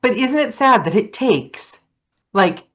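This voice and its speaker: A woman's voice: a little high, expressive, takes it slowly, fairly bright tone. A woman hosting an interview, emphatic, supportive and playful with her guest. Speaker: podcast host. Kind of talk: casual interview chat.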